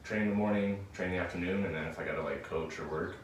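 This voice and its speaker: A man's voice: low-pitched, quick pace, lo-fi sound. A man in his early twenties chatting casually as a guest, loosely explaining things as he goes. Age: early 20s. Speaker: gym coach and student, podcast guest. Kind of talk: casual chat. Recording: echoey room, distant mic, faint noise.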